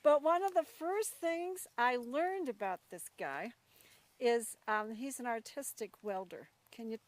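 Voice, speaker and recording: A woman's voice: on the high side, animated, unhurried. A woman hosting a casual, chatty interview in an informal, folksy and encouraging manner. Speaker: host introducing a new ranch worker.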